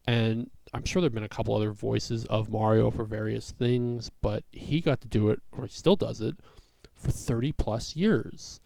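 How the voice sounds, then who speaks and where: A young man, a comedian, talking through a personal vlog in a rambling way with a raspy voice and many fillers. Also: lowish voice, steady tone, normal speed, mellow, slight background noise. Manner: rambling, full of fillers and restarts.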